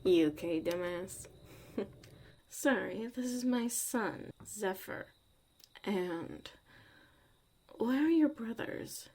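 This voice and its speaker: A woman's voice: medium pitch, lively intonation, takes it slowly, pauses often, darkish tone. A woman acting a tough but caring witch mother in an ASMR roleplay, muttering and often dropping to a whisper. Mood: firm, fond.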